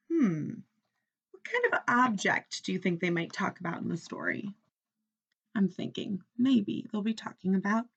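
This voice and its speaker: A woman teacher reading a children's story aloud in an expressive, instructional way, often stopping to ask questions. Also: medium pitch, gravelly, slightly rough, muffled recording.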